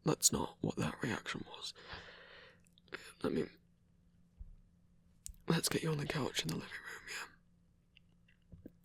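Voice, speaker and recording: A man voicing a gruff but caring male werewolf lover in an ASMR romance roleplay, with a British accent, a raspy half-whisper and a casual, coaxing manner. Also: a little high, sing-song, fairly bright tone, heavily acted. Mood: explaining, hesitant. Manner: casual, coaxing, hesitant pauses.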